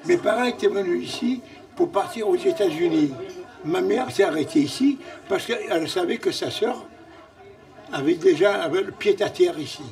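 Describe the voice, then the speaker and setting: A man's voice: sits high, lively intonation, normal speed, creaky, a little mellow, recorded from a distance. A man with a Marseille accent, a restaurant owner talking casually in an interview and repeating himself. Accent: Marseille French. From Marseille, France.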